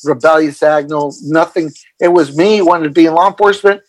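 A man's voice: on the high side, brisk, dry recording. A middle-aged man from El Paso, Texas, a mindfulness coach, answering interview questions with many fillers and restarts. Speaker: guest, mindfulness coach for athletes.

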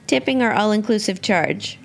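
A woman's voice: mid-range voice, animated, normal speed, faint music behind. A woman hosting a radio show, chatting casually as she interviews a guest. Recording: clearly noisy background.

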